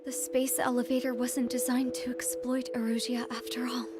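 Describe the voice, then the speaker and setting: A reflective young woman narrating in a war game voiced by an actress. Her voice sits a little high but stays level and restrained, with loud music underneath. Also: flat delivery, moderate pace, natural voice. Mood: pensive. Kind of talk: scripted dramatic narration.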